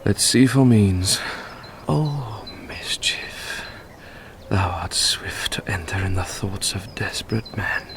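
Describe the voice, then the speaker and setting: An actor with a British accent playing an impassioned, grief-stricken young nobleman in a classical Shakespearean radio drama, delivering verse theatrically and deliberately. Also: fairly low pitch, expressive, vocal fry, noisy background, exaggerated voice. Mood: brooding, desperate.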